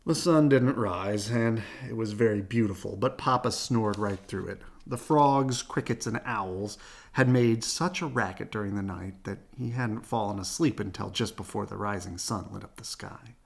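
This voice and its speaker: A man reading a children's picture book aloud in an animated storytelling style. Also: lowish voice, normal speed, gravelly. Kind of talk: animated read-aloud narration.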